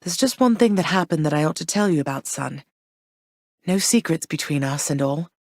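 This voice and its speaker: A regal, low-voiced mother goddess. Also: expressive, darkish tone, no echo, studio quality. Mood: tender, conflicted. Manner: measured, formal, archaic phrasing. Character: warm, firm, regal mother goddess, gently wry. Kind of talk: video game voice acting.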